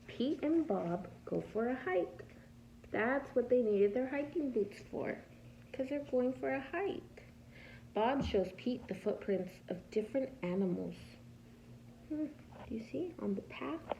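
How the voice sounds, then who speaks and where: A woman preschool teacher reading a picture book aloud to young children in a patient, teacherly way, pausing to ask simple questions. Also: on the high side, expressive, creaky, mellow, dull audio, slight background noise.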